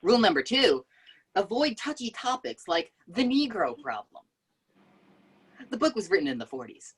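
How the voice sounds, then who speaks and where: Woman reading a playful young host, very lively pitch. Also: moderate pace, slightly rough. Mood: cheerful. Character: playful, excitable young man hosting a housewarming. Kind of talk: amateur table read.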